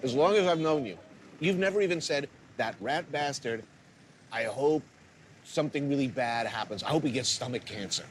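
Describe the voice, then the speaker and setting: A middle-aged man hosting a travel show, chatting with deadpan, profane humor and also narrating voice-over. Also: medium pitch, normal speed, slight background noise. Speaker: host. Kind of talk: casual chat and scripted voice-over narration. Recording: music playing behind.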